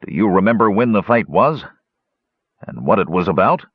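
A man voicing a hot-tempered male hill dwarf who mutters and grumbles in a low voice. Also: animated, normal speed, dry recording. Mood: angry. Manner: gruff, muttering. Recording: dull lo-fi dry recording.

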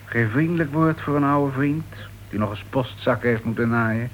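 An actor playing a cocky ex-convict old flame in a Dutch radio play, with a breezy, sardonic, taunting manner. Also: male voice, mid-range voice, moderate pace, highs cut off, close to an everyday voice. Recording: noisy old radio recording with background hiss.

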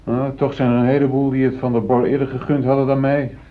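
A man's voice: mid-range voice, level tone, fast talker, close to an everyday voice. An actor in a Dutch radio play, playing a newly promoted, uneasy middle-aged foreman who earnestly justifies himself. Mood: brooding. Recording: muffled phone-like old radio recording.

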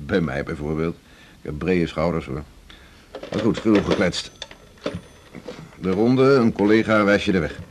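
Gruff, deep-voiced senior doctor. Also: male voice, a little mellow. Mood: blunt, wry. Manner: brusque, wry, bossy. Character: blunt, teasing senior doctor mentoring a young trainee. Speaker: actor. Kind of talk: 1980s Dutch radio drama acting.

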